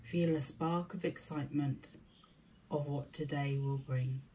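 Woman, guided meditation, mellow soft-edged tone, very unhurried, soothing, short phrases, faint background ambience. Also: low voice, steady tone, a little hiss or hum.

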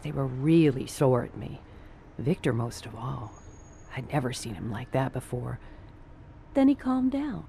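An actress playing a lovestruck, trusting nightclub torch singer, coy and theatrical, in the manner of old-time radio noir. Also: female voice, low-pitched, vocal fry, darkish tone, a little hiss or hum, full character voice.

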